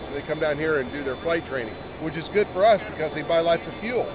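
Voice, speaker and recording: Male interviewer, casual and chatty explainer, a touch high in pitch, recorded against noticeable engine noise. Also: quick pace. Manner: chatty, explains jargon to listeners. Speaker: interviewer and pilot. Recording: loud aircraft noise behind. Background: airplane and jet engine noise.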